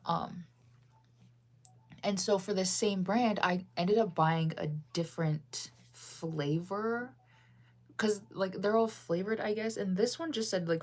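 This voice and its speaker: Chatty young American woman, low-pitched. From United States. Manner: rambling, chatty, lots of fillers and self-corrections. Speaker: livestreamer reading chat. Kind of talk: casual livestream chat.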